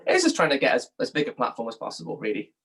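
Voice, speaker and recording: A man's voice: mid-range voice, huge pitch swings, racing, fairly bright tone. A young man from England giving informal, thoughtful interview answers as a journalist, often hedging as he talks. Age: young adult.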